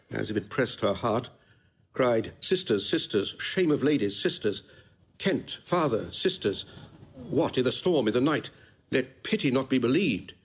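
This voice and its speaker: An actor playing a tender, eloquent courtly gentleman in a classical audio drama, speaking with earnest lyricism. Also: mid-range voice, husky. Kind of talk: classical stage-style audio drama acting.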